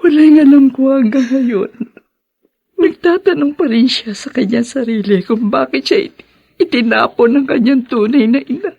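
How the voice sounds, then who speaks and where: A woman playing a tender, ailing adoptive mother in a radio drama, speaking softly and emotionally, with halting phrases. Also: high voice, vocal fry, husky, muffled recording, heavily acted. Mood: pleading, tearful.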